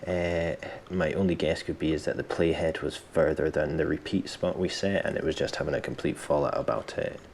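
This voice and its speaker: A man presenting a casual software tutorial, thinking aloud in an informal, self-deprecating way, with some background noise in the recording. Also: very deep voice, steady tone, fairly quick, few pauses.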